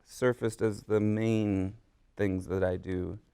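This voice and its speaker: A man's voice: lowish voice, flat delivery, slow, gravelly, warm tone, smooth. A man whose first language was Korean, a guest artist and musician in a radio studio, telling his story in a rambling, eager way. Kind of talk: casual interview chat.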